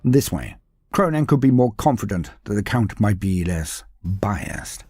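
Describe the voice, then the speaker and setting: A man narrating a scripted story in a dramatic, animated way. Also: lowish voice, a little slow, slightly rough, no echo, faint music behind.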